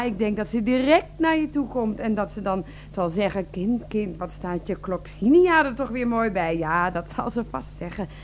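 A woman's voice: fairly high pitch, slight background noise, natural voice. A woman playing a doting housewife in a radio play, cooing and chatting to her houseplants as if to babies. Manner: cooing baby talk, coaxing, chatty.

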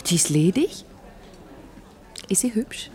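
A woman's voice: medium pitch, very animated, slow, dark tone. A woman acting in a German radio drama as a sardonic, jealous doctor and wife who needles with sarcasm. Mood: catty, mocking.

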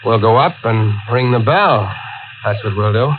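An actor playing a steady, protective brother, guarded and plain-spoken, in a 1940s radio thriller. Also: male voice, lowish voice, unhurried. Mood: tense resolve. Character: steady, protective brother, a stranded young motorist. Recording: dull, hissy 1940s radio recording.

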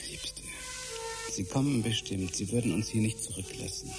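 An actor in a German radio drama playing a devoted man who soothes his companion in a hushed, halting, uncertain way. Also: on the low side, huge pitch swings, over music. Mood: soothing. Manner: hushed, halting, gently reassuring.

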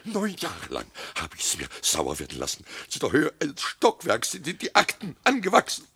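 Pompous, wildly swooping small-town mayor. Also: male voice, on the high side, wildly swooping pitch, normal speed, creaky, fairly bright tone, slightly rough, no room sound, exaggerated voice. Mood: indignant, aggrieved. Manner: blustering, declamatory, officious. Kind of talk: theatrical comic radio play acting.

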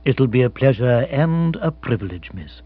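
A man voicing a grave, formal valet who speaks in a measured, deferential way. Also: medium pitch, big pitch swings, slightly rough, no room sound, phone-quality sound. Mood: apologetic, grave.

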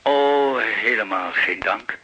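A man's voice: on the low side, slow pace, muffled recording, background noise. A man playing a flustered, wary art dealer on a telephone in a radio drama, fussy and hesitant. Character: flustered, wary male art dealer taking a phone call.

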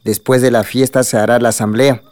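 A man playing a calm, protective middle-aged village father with a Mexican accent in a radio soap opera. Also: mid-range voice, brisk, no room sound, lightly acted. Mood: resigned, calm.

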